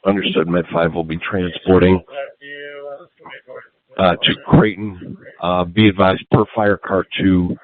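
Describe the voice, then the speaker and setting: A man's voice: low-pitched, little pitch movement, a little slow. A man working as an emergency dispatcher on a two-way radio, procedural, reading messages back over a narrow channel. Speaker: emergency medical group dispatcher. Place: command post.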